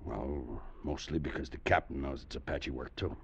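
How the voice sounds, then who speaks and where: An actor playing a seasoned, blunt cavalry sergeant in a 1950s radio western, gruff and emphatic yet respectful of rank. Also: male voice, a bass voice, moderate pace, heavily acted. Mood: firm, certain. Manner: gruff, emphatic, military deference. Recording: vintage radio broadcast with faint noise.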